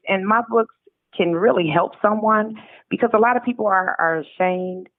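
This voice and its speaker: A middle-aged woman talks conversationally as an interview guest. Her voice sits a little low. It comes through a thin, phone-like line with no room sound. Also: no echo. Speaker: guest author.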